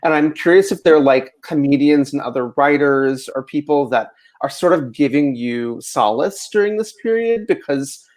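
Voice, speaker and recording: A man's voice: on the high side, normal speed, few pauses, darkish tone. American guy doing a casual interview, rambly questions, lots of like and you know. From rural Ohio, United States.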